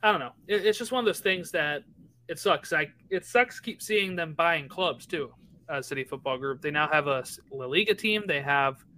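A man's voice: fairly high pitch, expressive, normal speed, bright, forceful tone. A man co-hosting a podcast, talking soccer in a casual, conversational way and often checking in with "right?". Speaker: podcast co-host.

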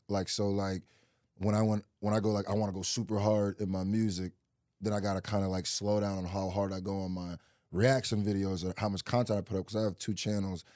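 A young man from Nebraska talks in a low, mellow voice, relaxed and rambling with lots of fillers and restarts. His voice sounds close and dry, with no room around it. He is answering as a guest in a casual interview. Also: no room sound. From Nebraska, USA, early childhood in Nigeria. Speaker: guest, rapper and reaction YouTuber.

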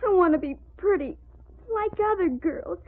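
Very high, tearful little girl. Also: lively intonation. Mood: tearful longing. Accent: American. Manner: plaintive, halting. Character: sensitive, unhappy ten-year-old girl. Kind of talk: old-time radio drama acting. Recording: tinny old radio recording.

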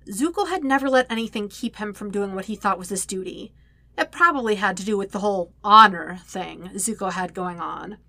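A woman narrating fan fiction as a scripted story, with expressive, dramatic emphasis on key words. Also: mid-range voice, dry recording. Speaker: podfic narrator, reading own fan fiction.

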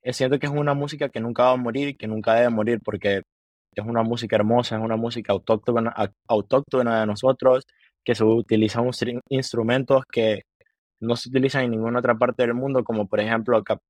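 A man's voice: mid-range voice, level tone, darkish tone, no room sound. A man in his twenties with a Honduran accent, a musician talking earnestly in a casual interview, with many restarts. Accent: Honduran Spanish. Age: 20s. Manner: earnest, halting, with many restarts and repeated words. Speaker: musician guest. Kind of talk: casual interview chat, mixing Spanish and English.

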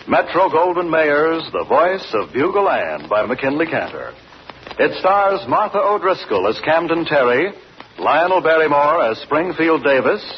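Man, radio announcer, grand, slow and swooping, dull old broadcast. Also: on the high side, a little hiss or hum. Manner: grand, drawn-out delivery.

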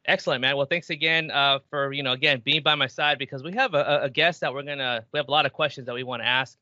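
A man's voice: mid-range voice, fairly even intonation, quick pace, bright, forceful tone, dry recording. A man hosting a podcast, chatting in a laid-back way with lots of restarts. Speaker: podcast host. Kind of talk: casual chat. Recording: dull, dry lo-fi sound.

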